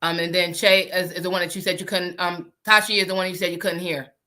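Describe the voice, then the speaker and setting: Woman, from New Jersey, deep voice, bright tone, chatty host, self-interrupting. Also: flat delivery, quick pace. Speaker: podcast host. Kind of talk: casual live podcast chat.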